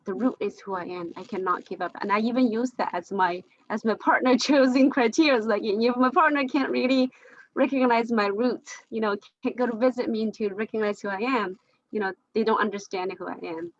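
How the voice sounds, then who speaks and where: A woman's voice: on the high side, fairly quick. Woman from china, english not her first language, lots of restarts and little laughs, muffled zoom audio. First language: Chinese. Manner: hesitant, many restarts and fillers, laughs often. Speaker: panel moderator. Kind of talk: casual video-call discussion. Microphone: video call.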